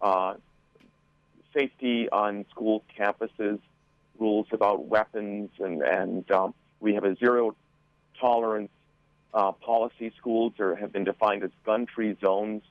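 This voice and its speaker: A man answering as an official guest in an interview, measured and halting, with frequent hesitations. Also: on the low side, fairly even intonation, no room sound, highs cut off. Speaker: school board member guest.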